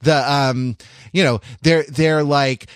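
A man's voice: fairly high pitch, fairly even intonation, clear, resonant tone, no room sound. Man casually discussing film, close dry sound. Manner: halting, thinking out loud, repeats words. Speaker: podcast co-host.

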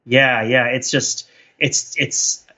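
A man's voice: mid-range voice, little pitch movement, takes it slowly, bright, forceful tone, muffled recording. A man co-hosting a podcast in casual, discursive chat, rambling and often questioning himself as he goes. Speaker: podcast co-host.

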